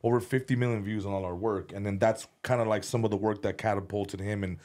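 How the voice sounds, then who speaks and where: A man's voice: fairly low pitch, fairly even intonation, fast, darkish tone. A man talking as a podcast guest in casual chat, rambling with many restarts and repeated words, and swearing freely. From United States. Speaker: comedian and music video director, podcast guest.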